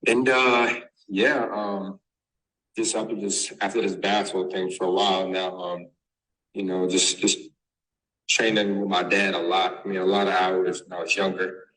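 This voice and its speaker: A young man from Massachusetts, raised in Texas, answering interview questions as a basketball recruit, halting with many fillers and restarts. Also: sits low, steady tone, a bit bright, low-quality recording. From born in Massachusetts, raised in Texas. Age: young adult.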